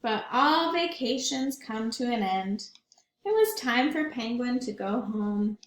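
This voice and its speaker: A woman's voice: on the high side, animated, slow, echoey room, slight background noise. A woman teacher reading a picture book aloud to children, often stopping to ask them questions. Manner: warm, questioning, child-directed.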